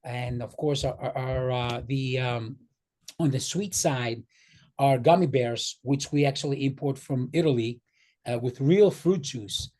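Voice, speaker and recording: A man's voice: medium pitch, measured pace, darkish tone. A man with a slight Greek accent, speaking earnestly on a video call about his company's products and often repeating words as he goes. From Greek, born in New York. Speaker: vendor presenting his company. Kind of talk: casual business presentation on a video call.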